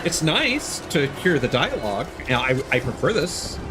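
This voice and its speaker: A man's voice: a little high, big pitch swings, normal speed. A man doing casual live game commentary, rambling and swearing as he muses aloud. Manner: rambling, profane, musing aloud. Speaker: game streamer. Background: game music.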